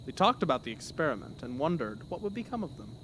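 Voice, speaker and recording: A man's voice: on the high side, sing-song, quick pace, background noise. A man narrating a scripted horror story in a dramatic, theatrical manner.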